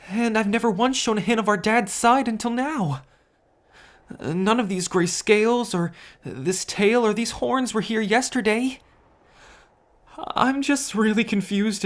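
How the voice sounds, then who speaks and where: A timid young merman newly turned dragon, acted out by a man in a fantasy audio roleplay. His voice sits high for a male, and he stammers in hesitant bewilderment. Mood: confused, overwhelmed. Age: young adult. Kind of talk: ASMR story roleplay acting.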